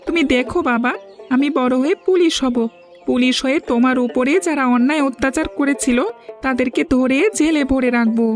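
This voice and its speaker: A woman's voice: high voice, a little fast, soft background music, lightly acted. A woman voicing an earnest, reassuring young villager in a cartoon village drama. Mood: eager, earnest.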